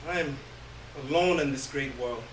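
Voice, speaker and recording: A man giving a theatrical, halting first-person reading of a literary story on stage. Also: medium pitch. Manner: theatrical, halting, with long pauses. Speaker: reader of a short story. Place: live indoor stage reading. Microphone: distant microphone. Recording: roomy, distant stage recording, faint noise.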